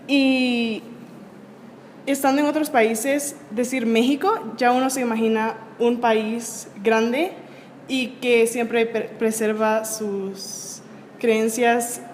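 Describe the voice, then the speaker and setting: A young woman from El Salvador answers interview questions at a measured pace. Her voice sits fairly high and trails into a long reverb. A faint background noise lies under her. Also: a little mellow. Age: young adult. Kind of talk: student video interview.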